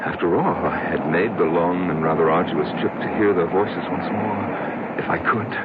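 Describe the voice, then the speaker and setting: An actor playing a lonely, haunted older man confessing a cruel secret in halting phrases with dramatic pauses, in old-time radio style. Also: deep voice, very noisy, lightly acted. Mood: hushed, intense. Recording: dull lo-fi vintage radio, music behind.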